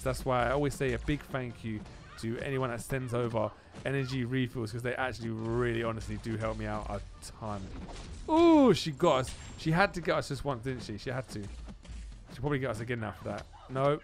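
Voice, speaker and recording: A man giving casual, excitable gameplay commentary as a video host, often repeating phrases for emphasis. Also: mid-range voice, moderate pace, soft background music.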